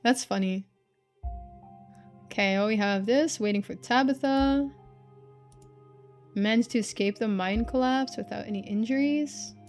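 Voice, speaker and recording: A woman's voice: fairly high pitch, measured pace, pauses often, a little mellow, faint music behind. A woman streamer giving casual playthrough commentary, thinking aloud and reading game text out.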